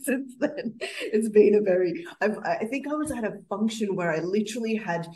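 A woman co-hosting a casual chat, reflective and quick to laugh. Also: mid-range voice, mellow. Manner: attentive listener, frequent laughs, reflective. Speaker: podcast co-host.

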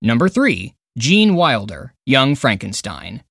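Animated man narrating a scripted countdown. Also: mid-range voice, slow, vocal fry, no room sound. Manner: opinionated, emphatic, dramatic phrasing. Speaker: podcast narrator and film critic.

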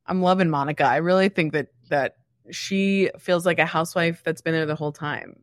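Female co-host, slightly low voice, dry close recording, playful teasing delivery in casual comedy talk. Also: a little fast, no echo. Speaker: podcast co-host.

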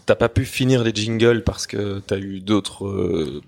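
A man's voice: on the low side, a little slow, vocal fry, darkish tone. A man chatting casually as a podcast panelist, with a teasing way of talking. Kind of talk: casual chat.

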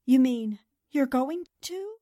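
Woman playing a high-voiced, plucky reporter. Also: expressive, slow, warm tone. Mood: defiant alarm. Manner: defiant, wry. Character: plucky, defiant female newspaper reporter under threat. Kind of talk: radio drama acting in 1940s manner.

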